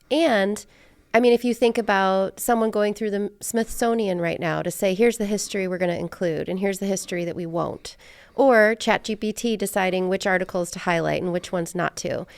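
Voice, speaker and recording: A woman's voice: mid-range voice, clean tone. An American woman hosting a conversational interview, informal, thinking aloud as she works toward a question. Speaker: podcast host.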